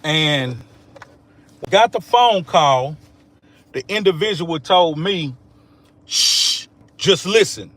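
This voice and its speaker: Slow-talking man with a higher voice. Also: expressive, a bit bright. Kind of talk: informal livestream storytelling.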